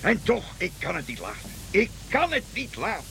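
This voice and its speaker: A male actor playing an excitable, bashful European king in a comic radio play, politely flustered and repeating himself in hesitant bursts. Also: sits high, normal speed, vocal fry, slight background noise, full character voice. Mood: exasperated.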